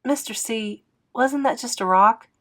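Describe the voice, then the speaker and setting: A woman's voice: a little high, unhurried, fairly bright tone. A woman voicing a curious, outspoken young boy in a storybook. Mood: puzzled, blunt.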